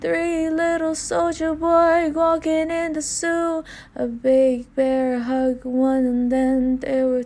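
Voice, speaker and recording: An eerie stage-play rhyme reciter acted by a woman, high and slow in a measured singsong. The delivery stays even and deliberate, with music playing underneath. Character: eerie nursery-rhyme reciter counting down deaths.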